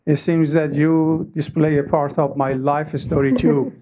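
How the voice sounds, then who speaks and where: Older man, higher voice, halting question from the floor, meeting room. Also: little pitch movement, dark tone. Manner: halting, searching for words. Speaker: audience member asking a question.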